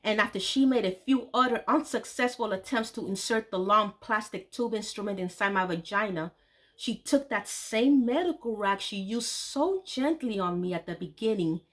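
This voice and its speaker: A woman's voice: medium pitch, expressive, normal speed, sharp tone. A woman reading her memoir aloud, telling a painful story in an earnest, grave manner.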